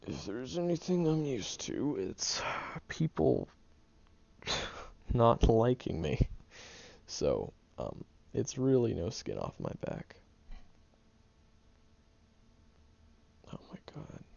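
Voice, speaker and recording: A man voicing a gruff, sarcastic young man who secretly cares, blunt and profane but gentle underneath, groggy from just waking up, in an audio roleplay. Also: mid-range voice, sing-song, takes it slowly, dull audio, exaggerated voice. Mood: dismissive. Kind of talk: audio roleplay monologue to the listener.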